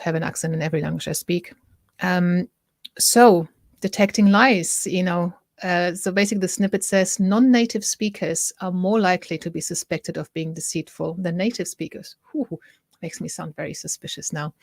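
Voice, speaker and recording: A middle-aged woman speaks with a German accent in a lowish, even voice, recorded close and dry. She hosts a casual talk to camera, chatty and prone to stopping mid-thought to correct herself. She keeps turning to viewers to ask what they think. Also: creaky, dry recording. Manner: chatty, self-interrupting, asks viewers for opinions. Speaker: host.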